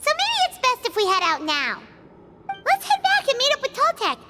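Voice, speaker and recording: A woman voicing a small, excitable childlike fairy companion in a video game, with a squeaky, chirpy voice. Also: moderate pace, very noisy, heavily acted. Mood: musing. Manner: speaks of herself in third person, chirpy.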